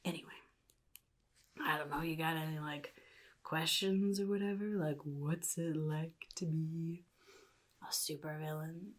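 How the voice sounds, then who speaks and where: A woman playing a sly, chaotic supervillain in a soft-spoken ASMR roleplay, often dropping into a breathy whisper with a casual, menacing drawl. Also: low voice, steady tone, a little slow, long pauses. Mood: sly, musing. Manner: casual drawl, offhand, slyly threatening.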